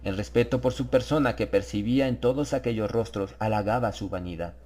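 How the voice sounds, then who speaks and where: A man narrating a horror audiobook from a script, in a measured, literary storytelling manner. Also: lowish voice, warm tone.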